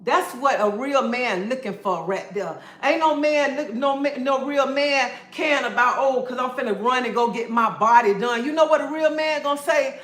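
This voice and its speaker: A woman's voice: high voice, moderate pace, hardly any pauses, a bit bright. A woman lectures her livestream viewers in a sassy, preachy way, calling them honey and baby. Her voice carries a noticeable echo from the room she streams in. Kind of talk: casual livestream pep talk.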